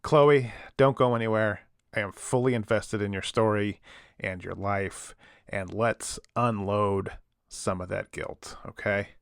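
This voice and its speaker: A deep male voice with a gravelly fry, very close and dry, acted by a man. He is an intrigued, sympathetic call-in radio host in an audio drama, reassuring and low-key in conversation. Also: fairly even intonation, unhurried, no room sound.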